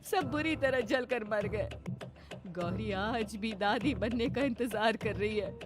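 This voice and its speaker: A woman playing a worried older mother-in-law, speaking gravely in a cartoon horror story. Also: a little high, pitched above the performer's own voice. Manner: grave, measured warning. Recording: music behind the voice.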